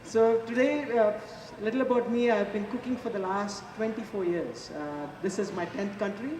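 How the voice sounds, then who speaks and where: A man in his forties with an Indian accent, a chef giving a casual live cooking demonstration in a chatty, halting way. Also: sits high. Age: 40s. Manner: chatty, informal, halting delivery. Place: large echoey event space before an audience. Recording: echoey, noisy public hall, distant mic.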